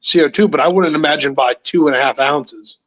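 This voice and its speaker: A man's voice: a little high, a little fast, fairly bright tone, lo-fi sound. A man chatting casually as a show panelist, musing curiously. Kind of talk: casual chat.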